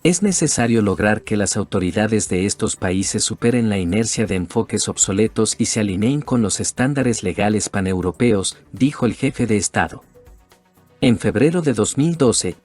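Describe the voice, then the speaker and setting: A man with a fairly low voice reads a documentary script in an even, measured delivery. Faint music plays beneath him throughout. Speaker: narrator.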